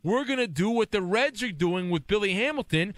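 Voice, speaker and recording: A man's voice: high voice, lively intonation, a little fast, fairly bright tone, no echo. A man hosting a sports talk radio show, taking calls and laying out his take in a conversational way. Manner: conversational, explains patiently. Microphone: studio mic.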